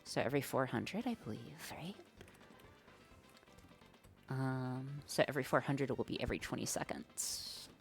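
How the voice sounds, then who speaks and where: A woman's voice: a bass voice, measured pace, vocal fry, long pauses, soft background music. A woman streaming live, thinking aloud as she writes code and chats with viewers in a slangy, casual way. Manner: thinks aloud while coding, slangy and chatty. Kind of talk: live-stream coding chat.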